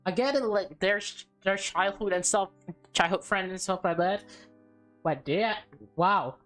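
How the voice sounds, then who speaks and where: Female let's player, mid-pitched, reading narrative aloud and reacting casually, music underneath. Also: a little slow, fairly bright tone. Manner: informal, stumbles over words and comments on the story. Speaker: let's play host. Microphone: close mic.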